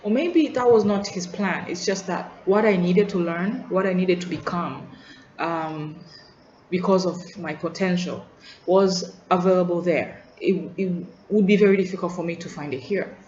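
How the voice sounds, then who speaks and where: A woman's voice: on the low side, moderate pace, darkish tone. A young woman from Tanzania with a Tanzanian English accent, thinking aloud in an unscripted vlog, hesitant and full of restarts and trailing asides. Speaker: student vlogger. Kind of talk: reflective unscripted monologue. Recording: roomy, dull lo-fi sound with faint hiss.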